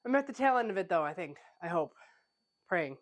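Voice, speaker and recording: A woman's voice: on the low side, very animated, brisk. A woman who is a pastry chef hosting a casual home-kitchen cooking tutorial, a little stuffy from a cold, chatting with plenty of "like" fillers. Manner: chatty, conversational, with frequent "like" fillers. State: stuffy, getting over a cold.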